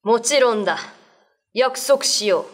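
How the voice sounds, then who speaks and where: A man acts an arrogant samurai in a radio drama, his voice pushed well up in pitch. He is impatient and commanding, speaking in slow, curt orders. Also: a bit bright. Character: haughty, impatient samurai from the royal castle.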